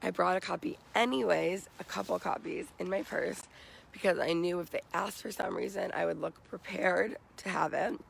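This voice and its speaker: A young American man talks casually in a high-pitched voice for a man, with very heavy vocal fry and a raspy, hoarse edge. He sounds like a vlogger handing out tips, recorded outdoors with faint background noise. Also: normal speed, a bit bright, poor audio. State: morning hoarseness. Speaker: vlogger giving job interview tips. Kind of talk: casual advice vlog.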